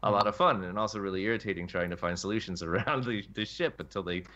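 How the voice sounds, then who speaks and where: Male gaming podcaster, lowish voice with a sharp edge, emphatic and long-winded, casual delivery over lo-fi chat audio. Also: quick pace. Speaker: podcast panelist. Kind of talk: casual panel discussion about a video game.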